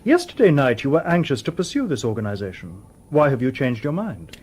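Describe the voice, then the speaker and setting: Husky-voiced, unflappable robot detective. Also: male voice, medium pitch, very animated, a little mellow. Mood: calm, formal. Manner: formal, precise, measured, unemotional. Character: polite, logical, unflappable humanoid robot detective. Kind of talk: radio drama acting.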